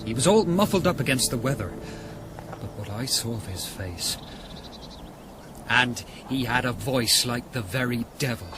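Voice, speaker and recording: Radio drama role: male actor as frank, wary village steward, middle-pitched, blunt, with clear background noise. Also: animated, a little slow, long pauses, close to an everyday voice. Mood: wary, disapproving.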